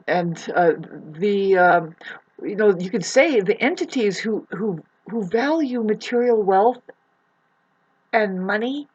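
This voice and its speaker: A woman with a husky voice talking casually in a meandering, halting monologue, often trailing off mid-thought. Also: lowish voice, dull audio. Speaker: vlogger. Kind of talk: rambling spoken monologue, casual chat.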